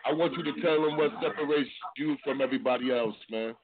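A man's voice: medium pitch, fast, a crowd in the background. A man hosting a casual hip-hop radio interview, easygoing and slangy, repeating "no doubt" between questions. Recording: tinny phone-line sound.

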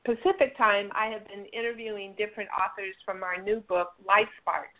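A woman's voice: mid-range voice, fairly even intonation, moderate pace. A woman hosting an interview radio show, introducing the program and her guest. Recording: narrow tinny phone-line sound.

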